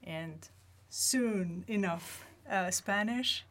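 A woman's voice: medium pitch, drawn-out words, fairly bright tone. A woman from Lebanon, an Arabic speaker, chatting casually. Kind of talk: casual conversational chat.

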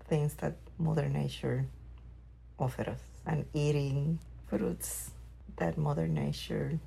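A middle-aged woman from Puerto Rico, a mother, fondly recalling her childhood in an unhurried, wistful way. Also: sits low, creaky, warm tone, a little hiss or hum, poor audio. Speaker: interviewee, mother. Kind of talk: reflective personal storytelling.

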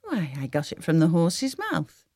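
A shrewd grandmother of nearly eighty, as a woman reads her in a storybook, dry, knowing and gently teasing. Her voice sits low, with plenty of rise and fall. The sound is close and dry. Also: wildly swooping pitch, measured pace, dry recording, full character voice. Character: Emma, shrewd wealthy grandmother nearing eighty.

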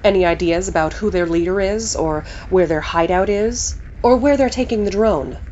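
A woman's voice: mid-range voice, normal speed, warm tone, muffled recording, slight background noise, lightly acted. An actress playing a brash, bossy female TV news reporter in an audio drama. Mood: reporting. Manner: bossy, pushy.

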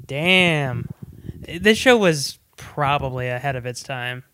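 Playful co-host, laughs a lot, unhurried, mid pitch, dry close sound. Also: animated, sharp tone, clear, resonant tone, no room sound. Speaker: podcast co-host. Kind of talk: casual chat.

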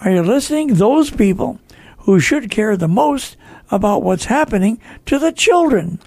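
A man's voice: sits high, lively intonation, moderate pace, creaky, darkish tone, no room sound. An older American man hosting a talk show, earnestly exhorting listeners in a conversational preaching style with repeated phrases.

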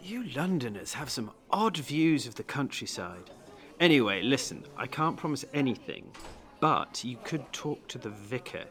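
A man's voice: medium pitch, very animated, moderate pace, fairly bright tone. Man playing a breezy, blunt village undertaker. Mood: brusque, wry. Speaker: actor in an audio drama. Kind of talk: audio drama acting.

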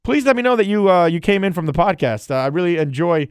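A man on a casual solo podcast who talks in a loose, rambling, friendly way. His voice sits a little high and sounds smooth and resonant. The recording is close and dry, with no room sound. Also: lively intonation, fast talker, no room sound. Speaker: podcast host and streamer.

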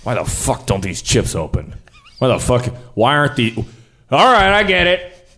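A man playing a touchy, jobless slacker test subject in improvised radio theater, excitable and defensive. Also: medium pitch, normal speed. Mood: irritated, exasperated.

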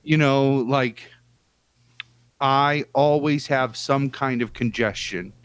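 A man's voice: mid-range voice, slow pace, a bit bright, smooth, no room sound. Emphatic casual podcast man. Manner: emphatic, swears casually, hedges with "like" and "you know". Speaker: podcast co-host. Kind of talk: casual chat.

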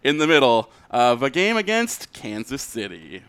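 A man with a clear, smooth voice at an ordinary pitch, recorded very dry. He talks sports casually as a podcast co-host, conversational and loose with profanity. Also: big pitch swings, moderate pace, a bit bright, no echo.

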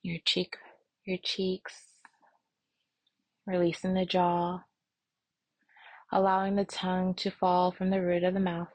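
Female meditation guide: lowish, soft and breathy, soothing, unhurried, prayerful delivery with noticeable vocal fry. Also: monotone, dry recording, rough recording. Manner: soothing, unhurried, with long pauses. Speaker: meditation instructor.

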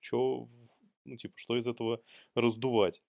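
A man's voice: mid-range voice, little pitch movement, normal speed, gravelly, darkish tone, no room sound, studio quality. A man co-hosting a podcast, casually riffing on the news in slangy, rambling talk. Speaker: podcast co-host. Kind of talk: casual comic news chat.

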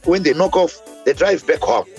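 A man speaking casually in an interview, explaining with a knowing, animated manner. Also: on the high side, normal speed, soft background music.

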